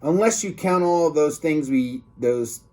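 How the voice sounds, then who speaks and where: A man voicing a mischievous, excitable schoolboy in a children's storybook, exclaiming and protesting. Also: fairly high pitch, unhurried, voice pushed up. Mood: cheeky.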